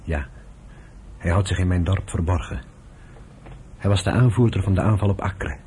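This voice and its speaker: Deep-voiced courteous male messenger, played by an actor. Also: normal speed, mellow, slight background noise, close to an everyday voice. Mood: polite, urgent. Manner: courteous, matter-of-fact. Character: polite, businesslike Druze villager, underground fighter. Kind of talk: radio drama acting.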